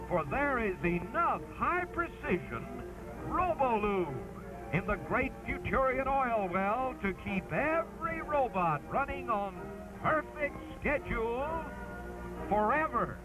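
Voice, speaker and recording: A man's voice: extremely high pitch, sing-song, slow pace, poor audio. A man giving a theatrical, emphatic dramatic reading of an old-fashioned children's adventure, with music under his voice. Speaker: narrator, live stream host.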